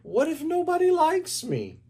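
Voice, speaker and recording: A man's voice: very high-pitched, huge pitch swings, unhurried, mellow, pitched above the performer's own voice, heavily acted. A man voicing a shy, eager-to-please young monster in a children's storybook, with earnest, childlike, formal speech. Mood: worried.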